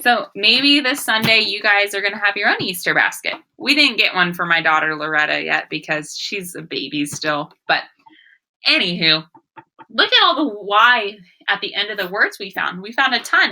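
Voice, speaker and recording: A young adult woman reading aloud and teaching young children, expressive and sing-song, often addressing them with questions. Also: mid-range voice, moderate pace, rough recording. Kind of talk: read-aloud story and phonics lesson for children.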